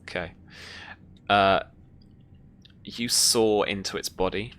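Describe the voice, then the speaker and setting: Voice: sits low, steady tone, slow, a bit bright. A game master running a tabletop roleplaying game in a casual way, talking players through rules and outcomes.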